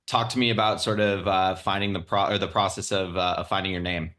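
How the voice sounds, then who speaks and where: Deep-voiced easygoing male interviewer. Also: flat delivery, fairly quick, bright, forceful tone. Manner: easygoing, affirming, loosely phrased questions. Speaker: podcast host, interviewer. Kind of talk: casual interview chat.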